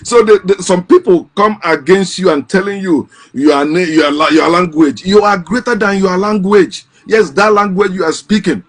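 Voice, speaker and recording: Impassioned man, fairly high voice. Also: moderate pace, few pauses. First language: Igbo. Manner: impassioned, repeats and restarts phrases. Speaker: host. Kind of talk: casual monologue.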